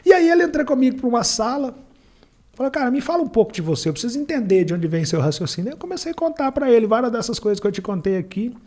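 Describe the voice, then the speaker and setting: A man's voice: very high voice, very animated, quick pace, a little mellow. A Brazilian man telling a personal story in colloquial, emphatic conversation, acting out the dialogue as he goes. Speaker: podcast guest, entrepreneur and former beach water vendor.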